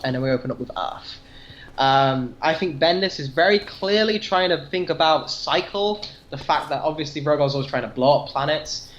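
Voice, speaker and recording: A man's voice: fairly high pitch, a bit bright. A man co-hosting a podcast, casually discussing comic books in a tentative, self-deprecating way. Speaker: podcast co-host. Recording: dull lo-fi audio.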